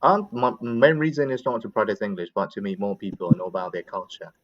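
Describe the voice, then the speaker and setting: A man's voice: on the low side, steady tone, quick pace, muffled recording. A man chatting in an English conversation session, explaining his opinions in a wordy way as he searches for words. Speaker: English conversation practice participant. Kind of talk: casual chat, explaining opinions.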